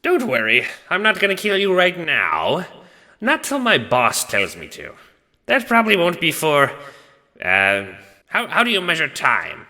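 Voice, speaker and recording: Gruff, growling, weary skeletal Death, swooping pitch. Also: male voice, wildly swooping pitch, unhurried, pushed and bright, in a large hall, full character voice. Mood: weary, blunt. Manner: blunt, dismissive, swearing. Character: huge gruff skeletal Death, bored, weary, crude, out of touch. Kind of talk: audio drama character acting.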